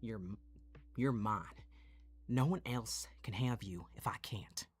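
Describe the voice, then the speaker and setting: A man's voice: fairly low pitch, slow pace, breathy edge. A man voicing a possessive, cocky young playboy lover with a menacing edge, in a romantic audio roleplay. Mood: possessive, threatening. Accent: Southern US. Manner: domineering, profane.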